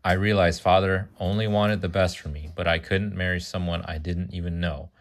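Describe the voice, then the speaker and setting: Very low-voiced man reading a children's story aloud. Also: fairly even intonation, moderate pace. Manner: stumbles over words and corrects himself. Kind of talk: read-aloud children's story with chatty asides.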